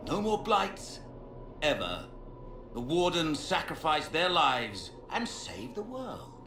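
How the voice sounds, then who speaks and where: Smug, pompous villain mage with swooping delivery. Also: male voice, on the high side, huge pitch swings, takes it slowly, background noise, heavily acted. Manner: pompous, gloating, theatrical. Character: smug fanatical male mage villain serving a dark master. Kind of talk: dramatic fantasy game acting. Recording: echoey, with music behind.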